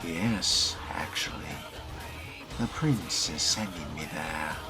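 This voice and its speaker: A man playing a newly turned vampire in a video game role-play, guarded and terse, with a measured, questioning manner. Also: sits low, music in the background. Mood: guarded, curious.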